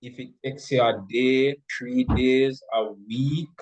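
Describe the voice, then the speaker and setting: A man's voice: medium pitch, fairly even intonation, slow pace, a little mellow, lo-fi sound. A man talking informally as an interview guest, explaining his points step by step and often repeating and rephrasing them.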